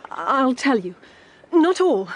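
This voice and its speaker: A woman's voice: high-pitched, big pitch swings, slow, slight background noise, exaggerated voice. An actress playing an anxious, guarded middle-aged woman, hesitant and pleading, in a period audio drama. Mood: distressed. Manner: hesitant, pleading, formally polite. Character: anxious, guarded older female guardian keeping a sorrowful secret.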